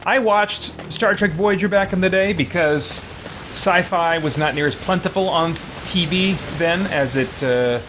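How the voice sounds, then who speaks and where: A man giving casual, halting live-stream commentary on a game, thinking aloud as he goes. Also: sits high, background noise.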